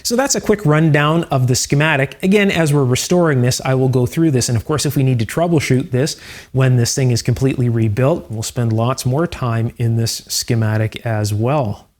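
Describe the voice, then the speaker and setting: A man hosting an electronics restoration video, explaining each step calmly and methodically, with frequent fillers. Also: mid-range voice, normal speed. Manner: calm, methodical, with fillers like "uh" and "you know". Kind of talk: hands-on technical explanation.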